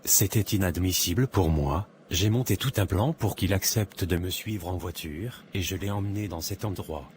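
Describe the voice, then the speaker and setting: A very deep male voice with faint noise in the background. A man acts a troubled young man in an animated drama, brooding and grave in delivery. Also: moderate pace, few pauses, lightly acted. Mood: bitter, resolute. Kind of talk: dramatic dialogue in an animated short.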